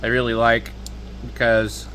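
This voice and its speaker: A man giving casual, rambling, unscripted product talk as a video host, with a hesitant, halting delivery. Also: mid-range voice, completely flat delivery, a crowd in the background, low-quality recording. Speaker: hobbyist video host reviewing handheld radios.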